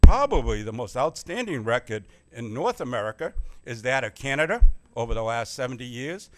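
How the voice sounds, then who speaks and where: A man's voice: mid-range voice, a little fast. A man, a history professor, giving a plain-spoken public lecture at a church forum on a microphone. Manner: plain-spoken, self-deprecating opening, steady explanatory delivery. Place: church meeting room. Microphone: handheld or stand microphone.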